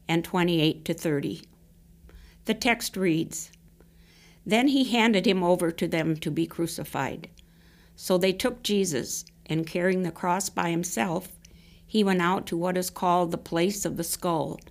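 A woman reading scripture and a written devotional reflection aloud. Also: lowish voice. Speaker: devotional reader.

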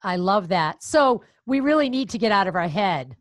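A woman's voice: mid-range voice, expressive, normal speed, no echo. A woman podcast host with a Boston accent, upbeat and chatty, encouraging her guest in a casual interview.

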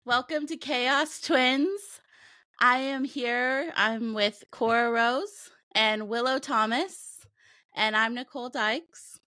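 A woman's voice: fairly high pitch, takes it slowly, bright, forceful tone, no room sound, clean studio sound. A woman with a Kansas American accent co-hosting a podcast, chatting enthusiastically and laughing often. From Kansas, USA. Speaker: podcast co-host. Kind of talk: casual podcast chat.

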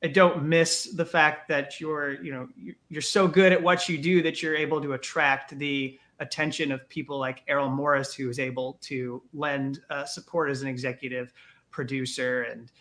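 A man's voice: on the high side, flat delivery, normal speed, fairly bright tone. A man hosting a podcast interview, asking long, hesitant questions full of fillers. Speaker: interview host.

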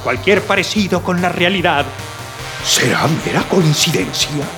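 High-pitched, animated male radio announcer. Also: a little slow, music in the background. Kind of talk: scripted radio serial outro.

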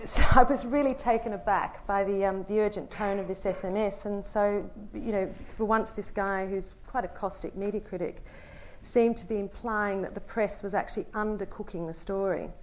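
A woman from Italy, a newspaper correspondent, giving a prepared panel talk in an articulate, measured way with dry wit. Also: mid-range voice, a little mellow, low-quality recording.

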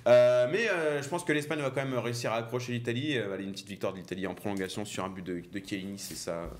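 A man's voice: medium pitch, steady tone. A man hosting a casual football discussion, asking the others for their picks and laughing often. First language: not French. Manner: fast, laughing, leads the questions. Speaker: host. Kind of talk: casual football podcast chat.

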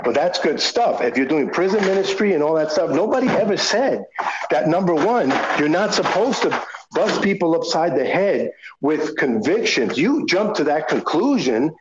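Exasperated man debating, slightly high voice. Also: sing-song, moderate pace, muffled recording, background noise. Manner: exasperated, stammering restarts, interjecting. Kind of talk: conversational debate.

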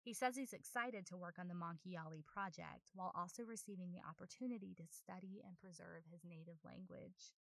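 A woman narrates a documentary feature in a measured, composed read. Her voice is low with some gravelly texture. The sound is dry and close. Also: dry recording. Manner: even, composed reading. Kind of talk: scripted narration.

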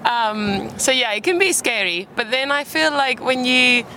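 Young woman from Spain, British accent, relaxed guest chat, outdoor park recording with traffic noise. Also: fairly high pitch, moderate pace. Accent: British English. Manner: chatty, laughs easily, self-deprecating. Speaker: interview guest. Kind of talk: casual chat.

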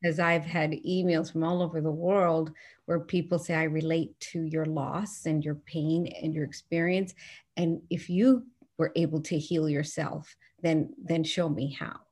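Middle-aged woman doctor guest; earnest, thoughtful, slightly low voice, restarts often. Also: steady tone, measured pace, a little mellow. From Newfoundland, Canada. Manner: earnest, reflective, frequent restarts. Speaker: guest, physician and author. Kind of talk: interview conversation.

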